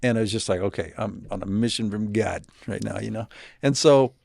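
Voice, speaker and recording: A man's voice: fairly low pitch, a little fast, gravelly, a little mellow, no echo. A middle-aged man telling a heartfelt personal story on a podcast, earnest and swearing freely. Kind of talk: casual conversation.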